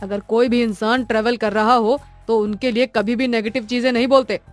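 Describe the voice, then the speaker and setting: A woman voicing a bold, irritable male traveller with a brisk, challenging manner in a cartoon story. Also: on the high side, steady tone, no echo, soft background music, close to an everyday voice. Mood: annoyed, dismissive.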